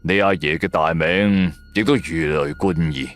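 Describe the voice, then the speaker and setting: A man voicing a menacing, unhurried old tomb hunter in a Cantonese radio drama, speaking slowly and with measured command. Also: extremely low pitch, faint music behind, pitched below the performer's own voice. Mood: cryptic, unhurried.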